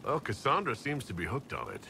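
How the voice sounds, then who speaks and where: An actor playing a calm, wry leader of an inquisition in a fantasy video game, over background noise. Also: male voice, medium pitch, fairly quick, gravelly. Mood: amused, casual. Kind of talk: cinematic game dialogue acting.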